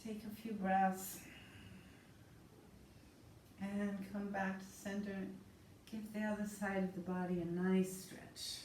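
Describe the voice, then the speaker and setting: A woman instructor guiding a yoga class with slow, soothing, calm cues. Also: mid-range voice. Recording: roomy, distant mic with faint noise.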